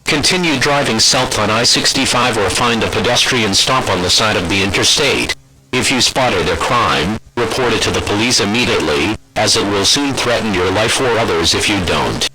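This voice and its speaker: A male announcer with a lowish pitch reads out an emergency alert. The wording is scripted and formal, and he keeps an impersonal, official tone. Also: lively intonation, moderate pace, poor audio.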